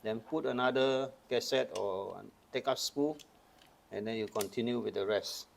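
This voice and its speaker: A man's voice: mid-range voice, normal speed, vocal fry, slight background noise. An older man from Malaysia casually demonstrating old cameras, explaining each step patiently. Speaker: camera collector. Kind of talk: casual show-and-tell demonstration.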